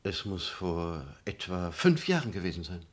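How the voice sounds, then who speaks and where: An actor playing a middle-aged lawyer in a radio play, precise, dry and measured as he explains things. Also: low voice, sing-song. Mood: sober, concerned. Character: sober, precise lawyer, matter-of-fact. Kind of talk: German radio play acting.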